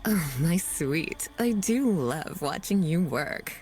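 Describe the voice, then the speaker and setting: A woman voicing a seductive, cruel demon huntress in a video game, purring and teasing with drawn-out delivery. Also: low-pitched, animated, a little mellow, slight background noise. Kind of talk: video game character voice acting. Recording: game audio with music behind.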